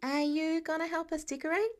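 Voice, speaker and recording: Woman voicing an eager teenage boy, pitched up. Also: brisk. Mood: cheerful. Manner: excitable, insistent. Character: eager, earnest teenage boy with playful streak.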